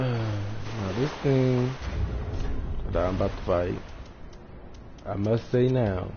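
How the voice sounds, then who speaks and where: A man with a very deep, raspy, fry-laden voice talks loosely through a game stream, hoarse and rambling, letting sentences fade out. The audio is dull and lo-fi, with heavy noise sitting under him. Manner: rambling, offhand, trails off quietly. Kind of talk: casual live-stream commentary.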